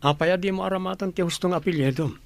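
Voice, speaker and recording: Actor as a highish-voiced, kindly big brother. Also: male voice, quick pace, creaky, dry recording. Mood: probing. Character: warm-hearted, teasing older brother of a poor household. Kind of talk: Ilocano audio drama acting.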